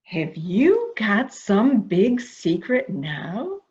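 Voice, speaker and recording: A woman's voice: medium pitch, very slow. A woman playing a coaxing, anxious character who humors a madman in a theatrical murder mystery drama, recorded with muffled sound. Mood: coaxing, wary. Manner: soft questioning, flattering, theatrical. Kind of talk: amateur murder mystery audio drama acting.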